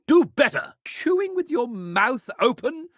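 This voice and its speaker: A man's voice: very high-pitched, big pitch swings, unhurried, no echo, studio quality, exaggerated voice. An actor playing a pompous, bullying male school official in a video game, with a blustering, scolding manner.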